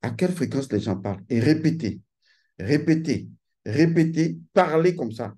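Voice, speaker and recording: A man's voice: on the high side, animated, moderate pace, dark tone, slightly rough. A man giving an insistent, lecturing monologue, stressing and repeating his key points. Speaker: channel host giving a talk.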